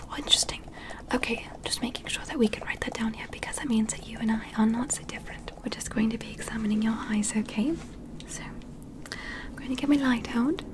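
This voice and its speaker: Alien examiner for sci-fi ASMR roleplay, female performer: whispered, breathy, higher-pitched, gentle and clinical, politely inquisitive about humans. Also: lightly acted. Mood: gentle, instructing.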